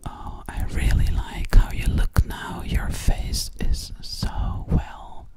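A young woman doing ASMR personal attention in a breathy, soothing whisper, very close to the microphone, often repeating soft words. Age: young adult. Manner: slow, soothing, repeating words and mouth sounds. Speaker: ASMR host. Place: small room, indoors. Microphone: close-up microphone right at the mouth.

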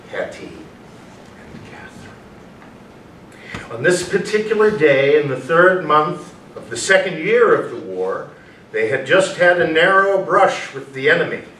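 A man playing a writer reading his florid tale aloud in a staged reading, deliberate, with pauses mid-sentence. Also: on the high side, a bit bright, exaggerated voice. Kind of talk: staged play reading, storytelling. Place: small hall, staged reading before an audience. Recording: roomy distant camera recording, faint noise.

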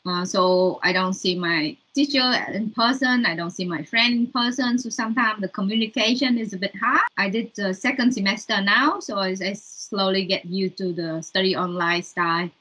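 A woman's voice: mid-range voice, normal speed, hardly any pauses, bright, forceful tone, rough recording. A young woman from Vietnam with a Vietnamese accent, chatting casually in an interview over a video call. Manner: chatty, with fillers and non-native grammar. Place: room at home, indoors.

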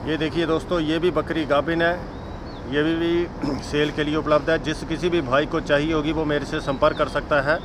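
A man from Himachal Pradesh walks through his goat farm and shows the animals one by one. He speaks in a fairly high, monotone voice, going over the same selling lines again and again. Clear outdoor noise from passing vehicles runs under the recording. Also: moderate pace. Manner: repetitive sales pitch, addresses viewers as friends. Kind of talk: walk-around sales video.

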